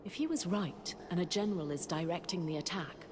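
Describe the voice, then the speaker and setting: An actress playing a composed companion in a fantasy game, giving measured, serious counsel. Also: female voice, lowish voice, close to an everyday voice. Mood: sober. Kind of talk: fantasy video game cutscene dialogue. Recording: long reverb, clear background noise.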